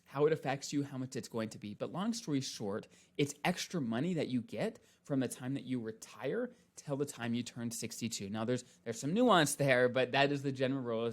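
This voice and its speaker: Male presenter, mid-pitched, conversational financial explainer; walks through points step by step, checking in often. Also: expressive, fairly quick, hardly any pauses. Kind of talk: casual instructional explanation.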